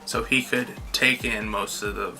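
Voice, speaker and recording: A woman's voice: very deep voice, steady tone, a little slow, sharp tone. A woman co-hosting a casual movie review as an enthusiastic fan who acts out quotes. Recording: clear guitar music behind the voice.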